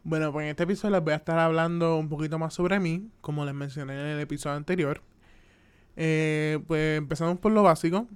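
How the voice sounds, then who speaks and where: A young man in his twenties talks with a Puerto Rican Spanish accent. His voice is very smooth and resonant and sits a little high, and he chats loosely on his own podcast, filling pauses with "este" and "pues". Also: fairly even intonation. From Puerto Rico. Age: 20s. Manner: chatty, with frequent "este" and "pues" fillers. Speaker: podcast host. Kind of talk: casual solo podcast monologue.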